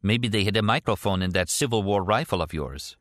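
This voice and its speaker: A man reading an audiobook voices a defector's adult daughter, a sharp, teasing woman with a lighter, forthright delivery. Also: lowish voice, a little fast, no room sound, studio quality, lightly acted. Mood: musing.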